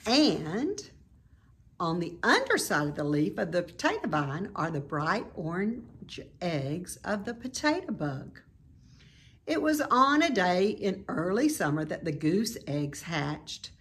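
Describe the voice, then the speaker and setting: Slow, very animated woman reading a storybook. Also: lowish voice. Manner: expressive, deliberate read-aloud delivery. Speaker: storybook reader. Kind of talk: scripted narration of a children's novel.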